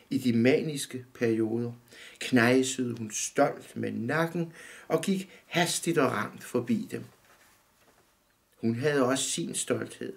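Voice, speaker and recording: Man, 50s, author reading his own prose; gravelly, measured, close to the camera indoors. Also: mid-range voice. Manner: calm, measured reading, often looking down at the text. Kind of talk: literary reading. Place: dim room indoors.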